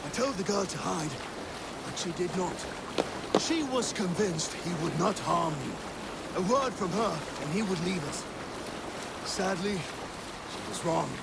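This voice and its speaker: A man playing a rueful, weathered older Viking warrior telling a tale dramatically in a video game, with a gravelly voice. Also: high-pitched, animated, a little slow, long pauses, heavily acted. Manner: wistful, dramatic storytelling. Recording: loud water and boat noise.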